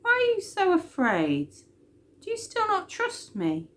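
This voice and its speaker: Woman as male storybook teacher, calm authority, direct, expressive pitch movement. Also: unhurried, heavily acted. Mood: gently questioning.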